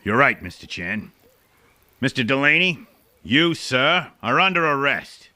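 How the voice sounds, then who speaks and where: A man playing a brash small-town constable in an old mystery radio play. His voice is very bright and hard-edged, swinging widely in pitch as he blusters bluntly. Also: sing-song, measured pace, exaggerated voice. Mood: brisk, impressed. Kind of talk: old detective film radio dramatisation.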